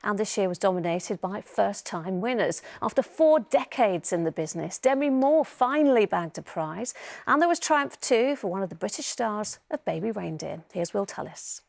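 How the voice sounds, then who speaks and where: A woman presenting a lunchtime news bulletin from the studio, with measured, conversational links. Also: mid-range voice, lively intonation, creaky, darkish tone.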